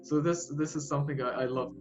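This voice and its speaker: A young man in his twenties with a Jordanian Arabic accent, telling his own story in an interview with frequent pauses, fillers and restarts. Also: fairly high pitch, fairly even intonation. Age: 20s. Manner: halting, with many fillers and restarts. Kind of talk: personal storytelling. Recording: muffled, with music behind.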